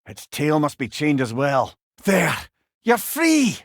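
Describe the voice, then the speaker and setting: A man's voice: on the high side, huge pitch swings, slow pace, no room sound, studio quality, heavily acted. A man with a Scottish accent playing a witty, learned older companion, a talking head in a video game, wry and eloquent with old-fashioned wording. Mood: alarmed. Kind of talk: video game character dialogue.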